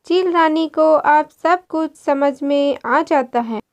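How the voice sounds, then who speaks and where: A woman narrator reads a scripted children's story. Her voice is high and girlish, and her delivery is markedly slow.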